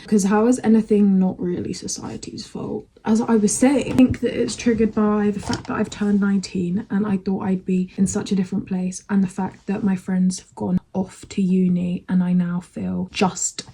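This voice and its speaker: A woman's voice: mid-range voice, flat delivery, normal speed, hardly any pauses, mellow, slight background noise. A nineteen-year-old woman with a British accent, chatting candidly to camera in a rambling, frank way with casual swearing. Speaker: vlogger.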